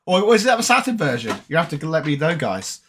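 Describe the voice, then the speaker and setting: A British man with a tired, raspy voice, chatting casually on a live stream and reading viewer comments aloud. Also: on the high side, very animated, brisk, fairly bright tone, slight background noise. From Britain. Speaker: retro gaming streamer.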